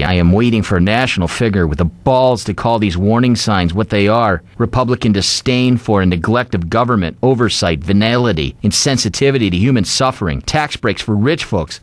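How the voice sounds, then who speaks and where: A man's voice: on the low side, no room sound, close to an everyday voice. A man doing a dramatic radio reading as an angry, indignant left-wing male blogger, emphatic and theatrical. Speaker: radio show reader.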